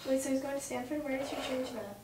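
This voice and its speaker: A woman's voice: high-pitched, steady tone, quick pace. A young woman reading lines and chatting casually at a table read. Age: young adult. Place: home dining room. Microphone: far camera. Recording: distant mic, echoey noisy room.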